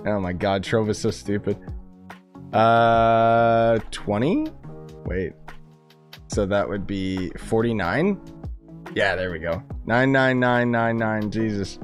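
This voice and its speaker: A man's voice: on the low side, animated, slow pace, soft background music. A man live streaming a game with casual, rambling, slangy commentary, his voice a bit worn from coughing. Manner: informal, rambling, gamer slang. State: losing voice, coughing.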